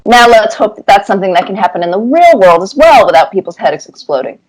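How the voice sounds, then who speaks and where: A middle-aged woman answering interview questions conversationally, with thoughtful, deliberate phrasing. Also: mid-range voice, lively intonation, a little mellow, poor audio. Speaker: interview guest, cartoonist.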